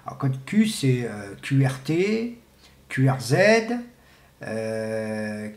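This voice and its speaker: A middle-aged man giving an informal, unscripted explanation in a halting, colloquial way, repeating himself for emphasis, as an amateur radio hobbyist. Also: on the low side, sing-song, darkish tone. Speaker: amateur CB radio enthusiast presenting. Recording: echoey, roomy sound.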